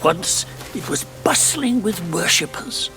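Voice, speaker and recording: Odd old guardian spirit for a game, creaky, pitch all over, music underneath. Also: male voice, very animated, measured pace. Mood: reverent, wistful. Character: quirky, theatrical old mountain guardian spirit. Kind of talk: theatrical game character acting.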